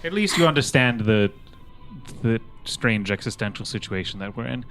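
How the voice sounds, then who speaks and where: A man improvises as a player in a tabletop roleplaying game. His voice is medium in pitch and his manner dry and a little hesitant, with quiet music in the background. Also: animated, normal speed.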